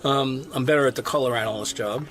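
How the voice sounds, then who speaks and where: Male interviewee, medium pitch, eager rambling answers, casual chat. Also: fast, a crowd in the background. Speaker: cameraman being interviewed.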